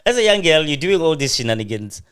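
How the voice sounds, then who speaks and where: A man's voice: a little high, big pitch swings, quick pace, fairly bright tone, clean tone. A man hosting a podcast, asking curious questions in a playful way with frequent laughs. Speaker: podcast host. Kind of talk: casual interview conversation.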